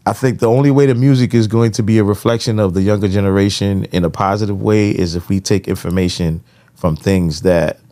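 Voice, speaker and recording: A man's voice: on the low side, a little mellow. A middle-aged man co-hosting a podcast, speaking in a laid-back, opinionated way with lots of fillers. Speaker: podcast co-host. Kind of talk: casual podcast chat.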